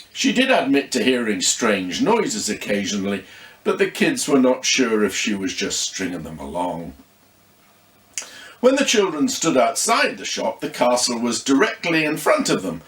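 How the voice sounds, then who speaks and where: A man reading a story expressively, with dramatic pauses. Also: medium pitch, roomy sound. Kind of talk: scripted story narration.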